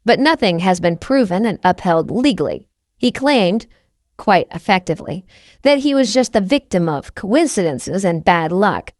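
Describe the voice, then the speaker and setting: A woman's voice: mid-range voice, expressive, moderate pace, darkish tone, dry recording, clean studio sound. A woman reading a first-person novel aloud as an audiobook narrator.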